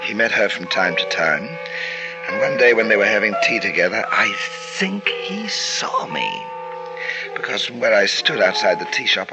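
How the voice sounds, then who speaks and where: An actor playing a cold, calculating middle-aged doctor who poisons people, narrating in 1940s radio drama style. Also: male voice, fairly low pitch, lightly acted. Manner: smooth, coldly calculating, murmuring asides. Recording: dull old radio transcription with music.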